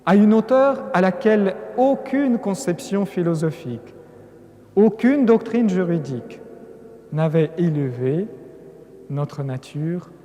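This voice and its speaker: A man's voice: sits high, expressive, slow, dark tone. Earnest non-native man in a cathedral. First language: not French. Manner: earnest, emphatic build-ups with hesitant restarts. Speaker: lecturing cleric. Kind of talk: Lenten conference talk. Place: large cathedral. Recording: long cathedral reverb.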